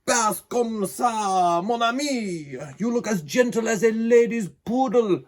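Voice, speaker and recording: Man voicing a theatrical French circus boss, high and loud, storybook. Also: slow pace. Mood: coaxing, exasperated. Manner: theatrical, exclaiming, French phrases. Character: excitable French male circus owner.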